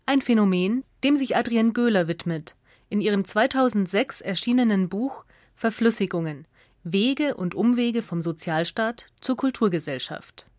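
A woman reads a scripted radio essay as narrator in a measured, articulate and factual way. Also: mid-range voice, expressive, darkish tone, no echo, studio quality.

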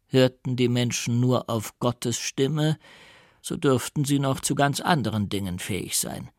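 A man reading an audiobook, voicing an old doctor and mystic who gloats slyly, in a theatrical, drawn-out, mocking manner. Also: medium pitch, dry recording, studio quality, lightly acted. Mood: soothing, sly.

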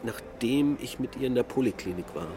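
Brooding middle-aged man amid loud traffic noise, acted. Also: medium pitch, animated, lightly acted. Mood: pained, remembering. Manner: reflective, halting musing in short sentences. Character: melancholic middle-aged East German husband and father, brooding. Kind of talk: naturalistic radio drama dialogue. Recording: loud urban traffic ambience.

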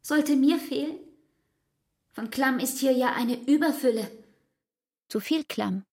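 An actress playing a weary, reproachful young woman who pleads intensely in a husky voice, in a literary radio drama. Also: high-pitched, takes it slowly, darkish tone, heavily acted. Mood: hurt, insistent.

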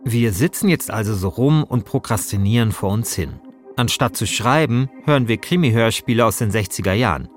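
A man's voice: fairly low pitch, expressive, a little fast. Wry male podcast narrator over music. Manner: wry, self-deprecating. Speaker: host and narrator. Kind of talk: scripted first-person podcast narration.

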